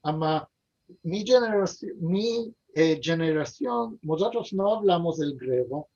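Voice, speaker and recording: A man being interviewed over a video call, speaking haltingly with many pauses, fillers and repeated words. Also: a little high, lively intonation, a little mellow. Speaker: interview guest. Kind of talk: hesitant conversational interview. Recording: dull lo-fi video call audio.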